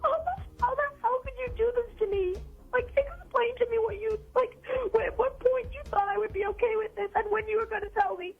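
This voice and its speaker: A woman's voice: very high-pitched, fairly quick. A woman on a phone call to a radio show, angry and upset. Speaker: caller. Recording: tinny phone line with music.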